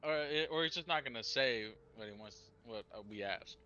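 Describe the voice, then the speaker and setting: A man who plays in a tabletop game, swearing freely and excitable as he chats after the session. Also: medium pitch, normal speed, highs cut off. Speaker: player. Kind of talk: casual post-session chat.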